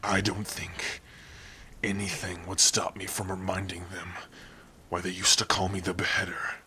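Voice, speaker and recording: A man acts out a protective orc lover in an ASMR roleplay. The voice is low and forceful, yet hushed and tender, speaking closely to one listener with halting earnestness. Faint rain noise sits behind it. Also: little pitch movement. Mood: protective, grim. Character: protective male orc warrior lover, gruff but tender.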